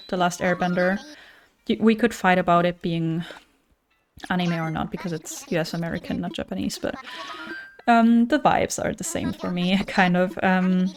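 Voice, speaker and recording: A woman's voice: mid-range voice, a little mellow. Young woman streamer with a German accent. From German-speaking. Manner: chatty, thinks aloud with fillers. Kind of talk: casual chat reading viewer messages.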